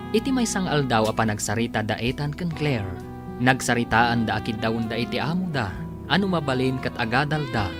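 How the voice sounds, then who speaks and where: Animated Ilocano radio drama announcer over music. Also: medium pitch. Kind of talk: dramatic scripted narration.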